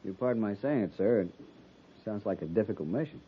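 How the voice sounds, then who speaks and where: Young army lieutenant, earnest n formal, pitch moves a lot, muffled old radio show. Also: male voice, animated, brisk. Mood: earnest, subdued. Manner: formal, deferential, crisp military address. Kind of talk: 1950s radio drama acting. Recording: muffled, noisy 1950s radio recording.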